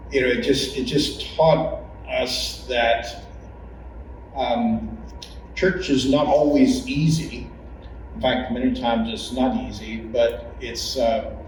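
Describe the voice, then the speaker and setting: Slow, halting man in a very echoey room. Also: medium pitch. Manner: halting, measured, pausing mid-sentence. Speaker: longtime church member. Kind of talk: reflective interview testimony. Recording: very echoey room, distant mic, noisy.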